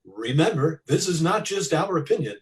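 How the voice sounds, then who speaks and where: A man's voice: on the high side, lively intonation, moderate pace. A man announcing in 1940s radio style, reading sponsor copy with an emphatic sales delivery.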